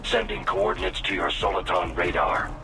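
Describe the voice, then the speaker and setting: An actor playing a robotic AI ally in a video game, giving formal, clipped mission reports. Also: male voice, low-pitched, wildly swooping pitch, normal speed, creaky, recorded from a distance, music in the background, exaggerated voice. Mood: matter-of-fact.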